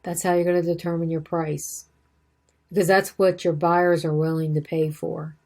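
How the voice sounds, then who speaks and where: A woman's voice: fairly low pitch, little pitch movement, normal speed, darkish tone. A woman teaching real estate exam material in a casual, repetitive way, saying she is losing her voice. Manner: conversational, repeats points, self-corrects. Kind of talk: casual study-guide lesson.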